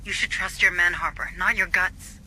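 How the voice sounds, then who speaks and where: An actor playing a measured, reassuring mission officer who guides a marine squad over comms in video game dialogue. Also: male voice, sits high, steady tone, pushed and bright, slight background noise, rough recording. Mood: calm. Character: calm, reasoning male mission officer on comms.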